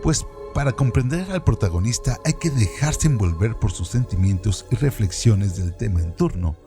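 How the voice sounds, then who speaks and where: A man reading scripted literary radio narration in a formal, measured way. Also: lowish voice, animated, music in the background.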